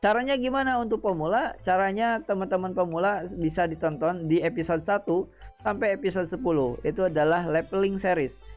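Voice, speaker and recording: A man's voice: sits high, a little fast, faint music behind. Chatty man hosting a game channel. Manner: chatty, repetitive, frequent hesitant fillers. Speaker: gaming channel host. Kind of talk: casual explainer chat to viewers.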